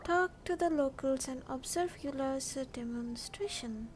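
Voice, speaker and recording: A woman's voice: high voice, unhurried, music in the background. A woman with an Indian accent casually livestreaming a game, chatting and reading dialogue aloud. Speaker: game streamer.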